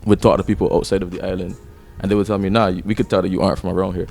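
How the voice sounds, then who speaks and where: A man's voice: low-pitched, little pitch movement, very fast, creaky, darkish tone, faint music behind. A young man, a rapper talking casually and reflectively as a podcast guest. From Barbados. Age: 20s. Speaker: rapper and songwriter interviewed as guest.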